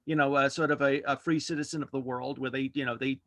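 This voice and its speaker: Male podcast host as interview guest, conversational, sharp bright voice, close dry sound. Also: mid-range voice, steady tone, fast, no room sound. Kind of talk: casual interview chat. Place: indoors at home, on a video call.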